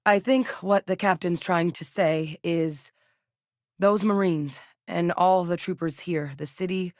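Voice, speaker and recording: An actress playing a steady, determined female military scientist in a video game, with a serious, matter-of-fact manner. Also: lowish voice, a little slow, dry recording, clean studio sound, natural voice. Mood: measured, serious.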